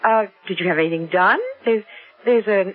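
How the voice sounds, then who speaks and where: Actress as husky older mother, tinny radio. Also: mid-range voice, normal speed, close to an everyday voice. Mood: curious, amused. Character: genteel, observant mother of a grown businessman. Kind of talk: 1970s radio drama acting. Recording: tinny, band-limited vintage radio recording.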